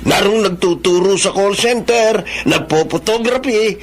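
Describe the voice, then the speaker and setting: A sneering, middle-aged Filipino father in a radio drama. His voice sits high for a man and grumbles with contempt. There is faint ambient noise behind him. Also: measured pace. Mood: scornful. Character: scornful middle-aged father belittling his own son.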